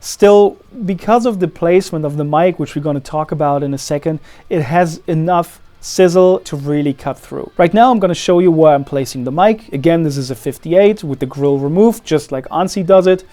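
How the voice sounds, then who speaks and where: Mellow-voiced young man explaining guitar gear. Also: fairly high pitch, normal speed, hardly any pauses. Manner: relaxed, friendly, technical explaining with light self-deprecating asides. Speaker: guitarist and channel host. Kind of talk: casual explanatory gear vlog.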